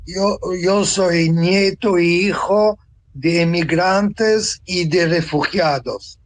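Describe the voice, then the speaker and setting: An elderly man in his seventies, from Tunisia, reflects on his life as a guest. His voice sits a little high, and he speaks slowly, pausing to choose his words. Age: 70s. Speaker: interview guest, author and translator. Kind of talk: reflective personal account in an interview.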